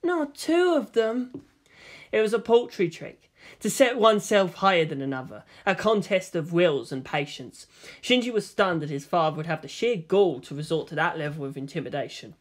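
A narrator reading fiction aloud as a dramatic scripted narration, with a medium-pitched voice. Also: animated, fairly quick. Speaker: story narrator.

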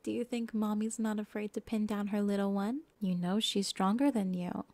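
A woman playing a dominant, coddling mommy figure in a soft, whispery ASMR roleplay. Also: mid-range voice, steady tone, moderate pace, lightly acted. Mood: teasing, dominant. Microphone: close, dry microphone.

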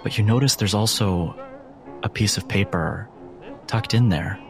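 A man working as game master, narrating a dramatic tabletop horror game deliberately, with pauses between phrases. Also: on the low side. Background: music.

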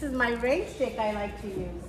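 A woman's voice: fairly high pitch, animated, moderate pace. A woman reading aloud and teaching at children's storytime, speaking simply and encouragingly to young children. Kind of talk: read-aloud of a picture book for children. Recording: roomy echo with faint background noise.

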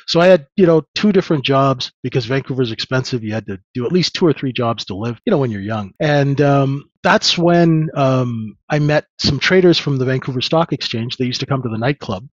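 A man in his fifties who grew up in Canada, telling his life story as an interview guest in a relaxed, rambling way with many fillers. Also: mid-range voice, fairly quick, hardly any pauses, dry recording. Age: 50s. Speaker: trader guest. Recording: dull lo-fi dry sound.

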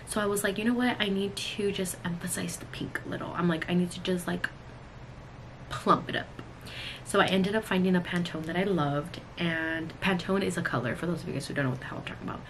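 A woman's voice: lowish voice, brisk. A woman in her thirties talking casually to the camera as a vlogger, chatty and addressing her viewers directly. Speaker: vlogger and cosmetics brand owner. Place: small indoor room at work. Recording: clear room noise, camera audio.

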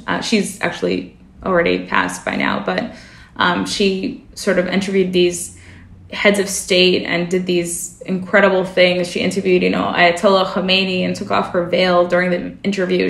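A woman's voice: fairly low pitch, vocal fry, echoey room. A woman journalist whose first language is Russian, talking reflectively in an interview, with frequent fillers and self-corrections. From family from the former Soviet Union. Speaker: journalist and podcast guest.

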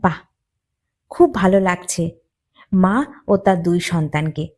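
A woman's voice: lowish voice, a little mellow, pitched below the performer's own voice. A woman voicing a male duck in a children's story, speaking in an earnest manner. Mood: delighted. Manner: slow, solemn scolding. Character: grave male duck, friendly then reproachful.

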